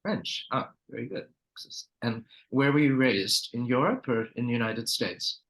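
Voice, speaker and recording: A male interview host with a voice of ordinary middle pitch. He speaks politely and formally, reading out an introduction and then asking questions. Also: lively intonation, measured pace, a bit bright, poor audio.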